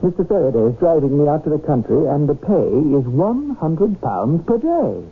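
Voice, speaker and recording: Earnest young English doctor, tinny radio. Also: male voice, fairly high pitch, sing-song, moderate pace. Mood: soothing, defensive. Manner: polite, formal, hesitant. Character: earnest, hesitant young English doctor, eager but uneasy. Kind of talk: old-time radio drama acting. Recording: tinny, noisy old radio broadcast.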